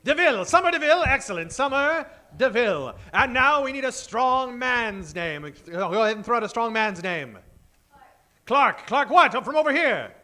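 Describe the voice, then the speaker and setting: A man's voice: very high-pitched, big pitch swings, normal speed, bright, forceful tone. A man hosting a live comedy show from a theater stage, talking like a theatrical old-time 1940s radio emcee and projecting to the audience.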